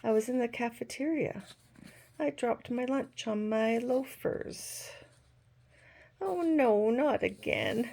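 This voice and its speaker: Storybook role: wistful young male bean, awkward and self-deprecating, played by a woman. Mellow, unhurried, hesitant delivery. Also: on the high side, expressive, creaky, pitched above the performer's own voice, exaggerated voice. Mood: embarrassed.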